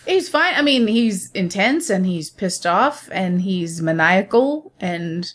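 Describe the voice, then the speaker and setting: A woman's voice: mid-range voice, animated, slow pace. A woman co-hosting a casual film review chat in a frank, matter-of-fact way.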